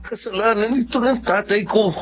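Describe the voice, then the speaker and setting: An actor playing a resentful, determined young Filipino son in a radio drama. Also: male voice, high voice, normal speed, slight background noise, close to an everyday voice. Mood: bitter, vengeful. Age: young adult. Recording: muffled recording with faint music.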